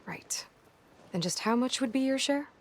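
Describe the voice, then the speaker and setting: A woman's voice: high voice, lively intonation, moderate pace, slight background noise. An actress playing a blunt young female hunter hero who speaks tersely and skeptically in a video game.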